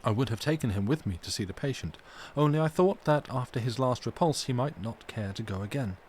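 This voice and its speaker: A man reads a gothic novel aloud as a streamed audiobook. His storytelling is measured and expressive. A light layer of noise lies behind him. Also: medium pitch. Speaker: audiobook reader on a livestream. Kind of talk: narrated reading of a gothic novel.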